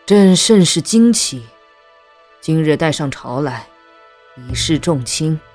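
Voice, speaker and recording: A woman's voice: sits low, lively intonation, slow, darkish tone, soft background music, heavily acted. A woman playing an imperious ageing empress holding court, stately and commanding, in a historical audio drama.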